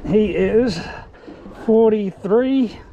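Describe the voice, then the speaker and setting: A man's voice: sits high, very slow, gravelly, muffled recording, crowd noise. A man in his thirties with an Australian accent, chatting cheerfully with a mate while fishing from a small boat. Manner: cheerful, easygoing banter. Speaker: fishing vlog host. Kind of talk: casual chat on a boat. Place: small open boat at sea, outdoors. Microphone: camera mounted on the boat. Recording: boat camera with loud sea noise. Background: loud wind, water and outboard noise.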